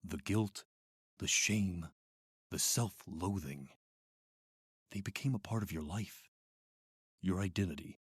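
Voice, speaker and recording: Radio drama villain played by a man: middle-aged institute doctor, deep, rough-edged, clinical, calmly manipulative. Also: no room sound, studio quality, heavily acted. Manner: measured, clinical, coaxing. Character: calm, manipulative, sinister male doctor running an institute.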